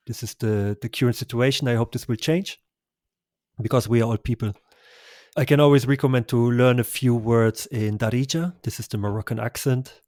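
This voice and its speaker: A man's voice: medium pitch, a little mellow, no room sound. Enthusiastic male travel guest, dry sound. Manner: enthusiastic, effusive recommendations with small laughs. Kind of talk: conversational travel interview.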